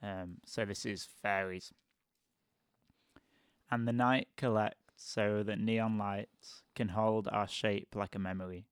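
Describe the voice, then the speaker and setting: Low, smooth-voiced man reading slowly. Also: no echo, clean studio sound. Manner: slow, measured, even delivery. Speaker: guest poet. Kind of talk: poetry reading then casual chat.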